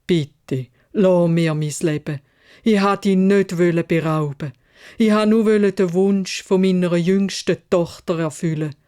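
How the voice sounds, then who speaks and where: A woman's voice: fairly low pitch, measured pace, dark tone, clear, resonant tone, natural voice. A woman voicing a humble travelling merchant and loving father in a fairytale, earnest and plain-spoken, with a Swiss German accent. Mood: pleading.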